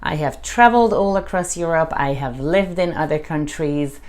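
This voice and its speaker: A woman with a Hungarian accent talks to camera in a casual vlog. Her voice sits low, and she speaks in a measured, reflective way with slow pauses. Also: darkish tone. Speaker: vlogger.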